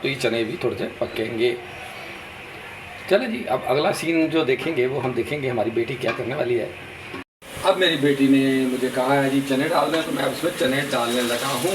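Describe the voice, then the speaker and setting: A hoarse man with fever and a sore throat narrating a casual cooking vlog in his home kitchen, chatty and talking to viewers as brothers. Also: medium pitch. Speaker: vlogger. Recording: noisy home video.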